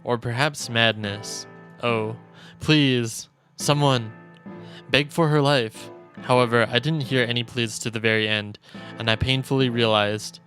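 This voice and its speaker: Measured male storyteller over game music. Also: mid-range voice, steady tone, a bit bright. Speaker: streamer reading a game aloud. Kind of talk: dramatic narration of visual novel text.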